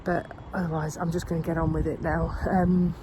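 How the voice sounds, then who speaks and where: A woman's voice: sits low, little pitch movement, brisk. A woman in her thirties with a head cold, talking to camera outdoors on her allotment. She rambles in a friendly, unscripted way, full of ums and restarts. A faint hiss of outdoor noise sits behind her voice. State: has a cold. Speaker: gardening vlogger. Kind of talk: casual vlog chat.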